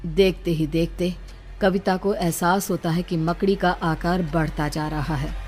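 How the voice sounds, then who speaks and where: A woman's voice: on the low side, steady tone, measured pace, a little mellow, soft background music. A woman reading scripted narration for a horror cartoon story.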